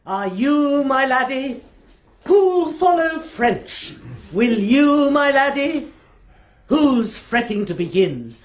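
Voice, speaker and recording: A woman's voice: sits high, highs cut off, heavily acted. An actress playing an imperious, theatrical elderly upper-class English lady of the manor in a radio drama. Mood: rousing, declamatory. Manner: brisk, dismissive, declamatory.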